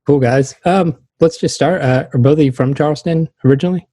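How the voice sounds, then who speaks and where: Laid-back, mellow male podcast interviewer. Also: a little high, fairly quick, dry recording. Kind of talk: casual interview chat.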